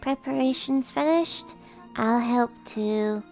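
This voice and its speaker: A woman voicing a cool, quietly affectionate young cat girl with soft, smooth, understated delivery. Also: on the high side, slow pace, faint music behind, rough recording. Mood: drowsy, content. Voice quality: soft, subdued.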